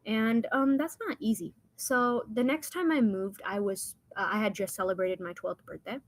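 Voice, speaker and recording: A woman's voice: medium pitch, fairly even intonation, normal speed, no echo, low-quality recording. A young woman whose first language is Hindi, from India and the United States, telling personal stories in a casual, rambling, informal way as a podcast guest.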